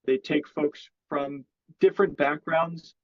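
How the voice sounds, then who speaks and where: A man's voice: a little high, steady tone, slow, no echo, highs cut off. A man speaking as an interview guest in sales, explaining his thinking in a casual business conversation.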